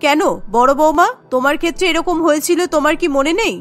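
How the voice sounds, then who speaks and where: A woman's voice: high voice, quick pace, natural voice. A woman voicing a domineering older aunt, an imperious scolding rule-keeper in a cartoon family drama. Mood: outraged scolding. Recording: music bed under the voice.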